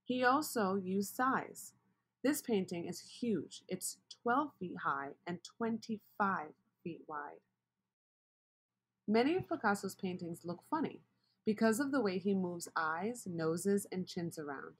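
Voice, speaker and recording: A woman reading a children's picture book aloud, expressive and asking the listener questions. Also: mid-range voice, slow, breathy edge. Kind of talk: read-aloud storybook narration.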